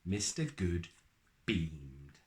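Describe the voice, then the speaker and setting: A man with a lowish voice reads a children's picture book aloud. His delivery is slow and highly animated, swooping across a wide range. He leaves dramatic pauses and stretches out words for effect. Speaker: storyteller reading aloud.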